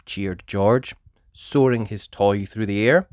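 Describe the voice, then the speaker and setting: A woman reading a children's story aloud with expressive, emphatic narration. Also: very deep voice, slow pace, no echo, clean studio sound. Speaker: storybook reader.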